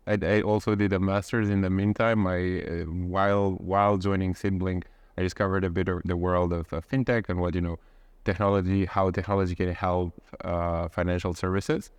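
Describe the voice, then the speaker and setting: A man's voice: sits low, clean tone, dry recording. A young man in his twenties, a podcast guest, who talks with many restarts and repeated words. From Romania. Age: 20s. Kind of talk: casual interview.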